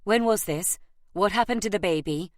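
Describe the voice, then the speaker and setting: A woman voicing a terse, authoritative gentleman and former army officer in an audiobook novel. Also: medium pitch, normal speed, no room sound, clean studio sound, close to an everyday voice. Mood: intent.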